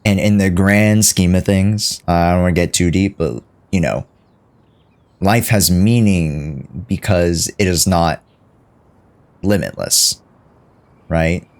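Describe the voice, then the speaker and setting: A man's voice: low voice, takes it slowly, pauses often. A man doing casual, joking live game commentary as a streamer. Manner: joking, mimics the game's lines.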